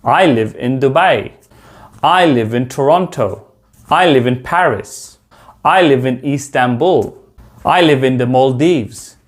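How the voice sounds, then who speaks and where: A man with a London accent teaches beginner English in a small room at home. He speaks into a desk webcam and slows right down for each example sentence. His pitch sits in the middle of the range. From United Kingdom. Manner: slow, deliberate example sentences. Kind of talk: beginner English lesson to camera.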